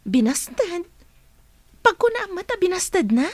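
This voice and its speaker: Very high-voiced, chatty office woman, acted. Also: sing-song, a little slow, no room sound, exaggerated voice. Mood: surprised. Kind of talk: Ilocano radio drama acting.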